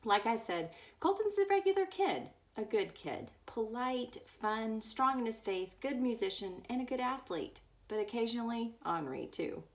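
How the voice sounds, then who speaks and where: A woman's voice: a little high, very animated, normal speed, few pauses. Woman reading a book aloud. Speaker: story reader.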